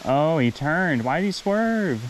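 A man hosting a fishing vlog outdoors by a waterfall creek, chatty and excited, laughing often as he talks from a head-mounted camera. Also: a little high, a little slow, noisy background. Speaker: fishing channel host. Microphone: head-mounted camera mic.

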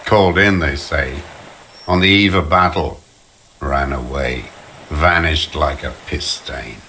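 An actor playing a bitter old communist deserter, slow and contemptuous, in a video game. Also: male voice, rumbling low, sharp tone, a little hiss or hum, full character voice. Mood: scornful. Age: older. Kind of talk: dramatic video game voice acting. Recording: music under the voice.

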